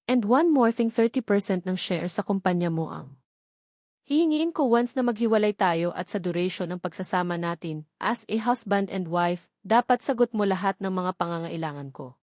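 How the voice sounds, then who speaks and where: An assertive young rich woman from an audiobook romance, read by a woman narrator. She is self-assured and demanding, with a mid-pitched voice in a dry recording. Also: expressive, normal speed, darkish tone, no echo, lightly acted.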